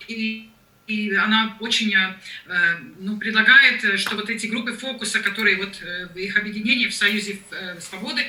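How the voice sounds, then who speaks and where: A woman's voice: mid-range voice, sharp tone. A woman whose first language is Latvian, interpreting live on a video call, hesitant and full of fillers. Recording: echoey distant video call audio.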